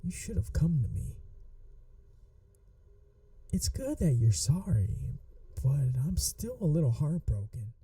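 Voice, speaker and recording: Woman playing a mellow, slow-talking flirty office manager. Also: low-pitched, big pitch swings, no room sound, distant mic, exaggerated voice. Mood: mock scolding. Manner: soft, coaxing, playfully teasing, slipping into whispers. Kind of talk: ASMR roleplay, acted monologue to listener.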